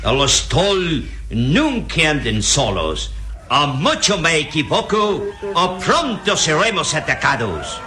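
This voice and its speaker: An actor playing a confident, commanding boat captain in a Spanish-language radio drama. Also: male voice, a little high, big pitch swings, takes it slowly, bright, forceful tone, heavily acted. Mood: self-assured. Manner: commanding, boastful. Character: gruff adult male boat captain, confident. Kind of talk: 1960s-style radio drama acting.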